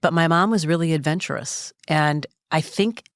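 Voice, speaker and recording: A woman in her sixties from Minnesota with a low, smoky voice, speaking softly and reflectively as a podcast guest. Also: normal speed, dry recording, clean studio sound. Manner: soft-spoken, reflective storyteller. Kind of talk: casual conversation. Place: recording studio.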